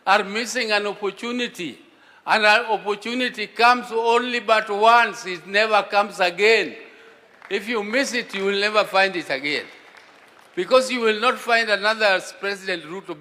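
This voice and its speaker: Older Kenyan man, high bright tone, slow halting delivery, in a reverberant hall. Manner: earnest, halting, with repeated restarts. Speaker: elder speaker at a national forum. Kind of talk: public address. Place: large conference hall.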